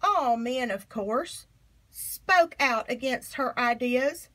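A woman reading a children's picture book aloud with expressive, emphatic phrasing. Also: sits high, takes it slowly, poor audio. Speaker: storybook reader.

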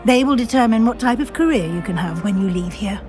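A high, lively voice of an older British witch professor in a fantasy game, acted by a woman. She is formal and measured, firm yet kindly. Music plays behind her. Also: natural voice. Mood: brisk, encouraging. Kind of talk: scripted game dialogue. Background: background music.

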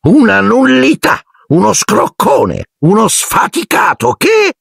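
A man's voice: wildly swooping pitch, takes it slowly, creaky, a bit bright, breathy edge, dry recording, clean studio sound, exaggerated voice. A man voicing a drunken, bullying middle-aged aunt who sneers and shrieks insults at her nephew in an audiobook. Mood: sneering. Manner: scornful, shrill and sneering.

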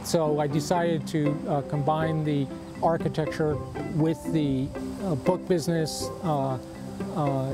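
A man in his sixties who owns a bookshop, speaking in a documentary interview on a lapel mic and pausing often with fillers. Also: a little high, fairly even intonation, over loud music. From United States. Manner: hesitant, many uh fillers. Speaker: bookshop owner. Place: wooden barn bookshop, indoors.

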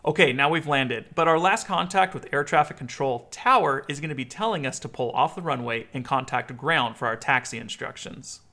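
An American man in his thirties giving a clear instructional explainer as a video host. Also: mid-range voice, a little fast, hardly any pauses, pushed and bright.

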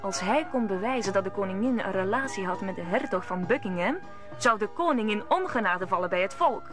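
A woman's voice: medium pitch, animated, fairly quick, music in the background. A woman narrating a radio play in a scripted storybook style.

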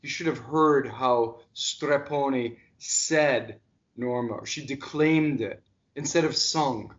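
A man's voice: mid-range voice, slow pace. A man in his fifties from the United States, talking animatedly on a video call as a diction coach and asking pointed questions. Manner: energetic, gestures a lot, asks pointed questions. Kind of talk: casual video call discussion. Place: home music room. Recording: dull lo-fi call audio.

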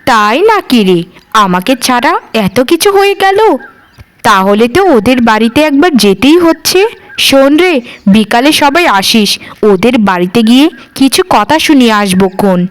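A woman's voice: fairly high pitch, sing-song, normal speed, smooth. A woman playing a spiteful, bossy ringleader of village gossips in a cartoon. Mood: nosy, spiteful. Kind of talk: cartoon dialogue.

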